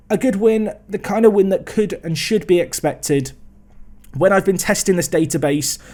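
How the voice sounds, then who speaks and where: Chatty man, fairly high voice. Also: a little mellow. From England. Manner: chatty, self-mocking, trails off and restarts. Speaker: gaming video host. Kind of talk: casual game commentary.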